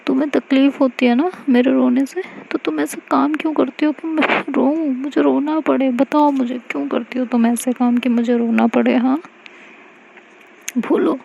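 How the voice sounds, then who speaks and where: A woman's voice: high-pitched, steady tone, normal speed, gravelly, a little mellow, a little hiss or hum, voice pushed up. A woman doing a tearful young woman scolding her partner. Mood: hurt pleading. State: crying. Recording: slightly dull lo-fi recording.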